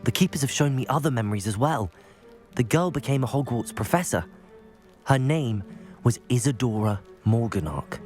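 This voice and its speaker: A man's voice: mid-range voice, animated, moderate pace, a little mellow, soft background music, natural voice. A young man playing a composed, thoughtful student wizard in a video game, speaking politely and with reserve. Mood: earnest, explanatory. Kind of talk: video game cutscene acting.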